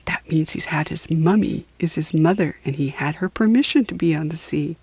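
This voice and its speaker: A woman storyteller reading a children's tale expressively, with theatrical, deliberate emphasis. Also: low-pitched, vocal fry, a little mellow, breathy edge, dry recording.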